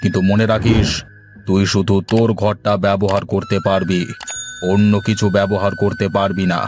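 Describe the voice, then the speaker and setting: A man's voice: lowish voice, little pitch movement, normal speed, voice pushed down, lightly acted. A man voicing a stern, domineering landlord in a cartoon fairy tale, speaking in a commanding, condescending way, with music underneath. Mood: grudging, warning. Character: stern, domineering male landlord zamindar demanding repayment.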